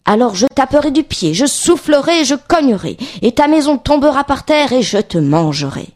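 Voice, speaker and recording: A woman's voice: high-pitched, sing-song, moderate pace, a little mellow, voice pushed up. A woman voicing a big bad male wolf in a children's storybook, bullying and hungry, with emphatic, chanting threats. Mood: menacing.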